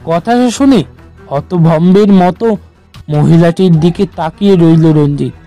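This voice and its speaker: A man narrating a scripted horror story in a measured, suspenseful manner. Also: fairly high pitch, warm tone, soft background music.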